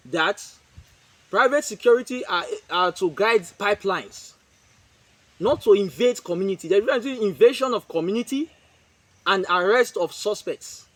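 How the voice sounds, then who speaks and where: High-pitched, emphatic Nigerian man. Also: no echo, low-quality recording. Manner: emphatic, repetitive, rhetorical questioning. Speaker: community group spokesman. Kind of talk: press statement.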